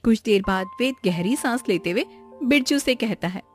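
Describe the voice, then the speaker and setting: A woman's voice: a little high, animated, normal speed, slightly rough, soft background music. A woman narrating a scripted story.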